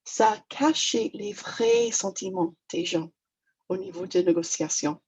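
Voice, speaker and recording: A woman's voice: mid-range voice, slow pace, darkish tone, breathy edge, low-quality recording. A woman from Toronto with an English Canadian accent, speaking French as a second language in a webinar, hesitant and self-deprecating with many fillers. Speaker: negotiation trainer. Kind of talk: webinar presentation.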